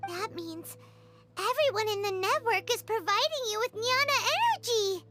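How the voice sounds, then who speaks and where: A woman voicing a small childlike companion sprite in a video game, squeaky, excitable and exclamatory. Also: moderate pace, over music. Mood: alarmed. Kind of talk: scripted video game dialogue.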